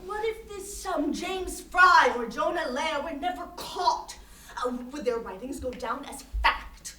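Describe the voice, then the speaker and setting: A woman's voice: high-pitched, lively intonation, a little slow, bright, forceful tone, exaggerated voice. A woman acting an intense, exasperated Chinese intellectual in a staged play reading, lecturing emphatically and with condescension. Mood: pointed lecturing. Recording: roomy distant stage recording, faint noise.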